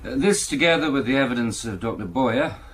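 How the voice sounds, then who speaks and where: An actor playing a formal appeal-court judge, speaking in a measured, judicial way in a radio drama. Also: male voice, medium pitch, expressive, fairly bright tone, husky, poor audio. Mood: grave, formal. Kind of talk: naturalistic radio drama acting. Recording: faint background noise.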